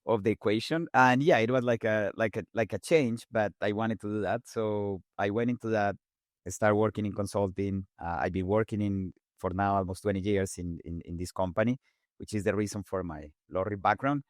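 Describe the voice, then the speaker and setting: A man in his forties from Colombia with a Colombian Spanish accent, a native Spanish speaker, talking quickly and animatedly as an interview guest. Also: lowish voice, no room sound, studio quality. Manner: fast-talking, animated, repeats words while restarting. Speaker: guest engineer. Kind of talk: casual interview talk.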